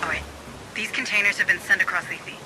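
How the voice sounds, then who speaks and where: A man's voice: sits high, fairly even intonation, fairly quick, heavy background noise, lightly acted. A man voicing a keen, businesslike mission adviser in a video game, briefing marines in an urgent, explanatory way. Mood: focused, informative.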